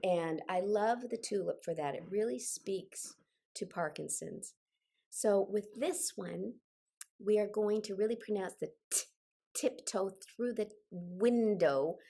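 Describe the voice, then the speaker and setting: Unhurried older woman getting over a cold. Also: mid-range voice, vocal fry, warm tone, slightly rough. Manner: encouraging, directive. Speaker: choir leader and singing instructor. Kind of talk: instructional group singing session with personal asides. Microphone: video call.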